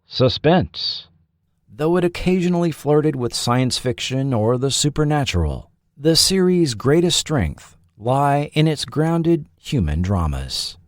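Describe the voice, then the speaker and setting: A man reading a scripted documentary narration as a podcast narrator, in a measured, dramatic storytelling manner. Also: mid-range voice, dry recording, clean studio sound.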